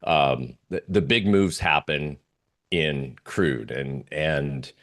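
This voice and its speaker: Very deep-voiced man, casual and hesitant. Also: slow pace. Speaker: interview guest, oil trader. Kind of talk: casual chart presentation.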